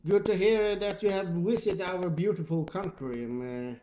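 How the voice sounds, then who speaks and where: A man with a Swedish accent, speaking English as a second language, chats casually and haltingly as a radio hobbyist. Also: high-pitched, heavy background noise. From Sweden. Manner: halting, hesitant. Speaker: CB radio operator. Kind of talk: casual two-way radio chat. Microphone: old radio microphone.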